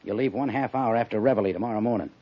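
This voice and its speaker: An American man playing a brisk, stern but understanding army major in a 1950s radio drama. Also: fairly low pitch, natural voice. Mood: firm, official. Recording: muffled 1950s radio recording.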